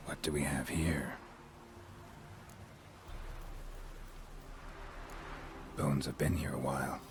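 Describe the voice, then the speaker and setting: An actor playing a gruff, laconic monster hunter in a video game, with a deadpan, clipped delivery and an American accent. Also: male voice, rumbling low, a little fast, background noise. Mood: musing, detached.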